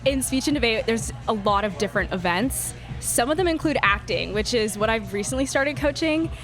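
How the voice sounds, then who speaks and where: A woman in her twenties, a podcast guest in casual chat, bubbly and quick to laugh. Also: fairly high pitch, expressive, music in the background. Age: 20s.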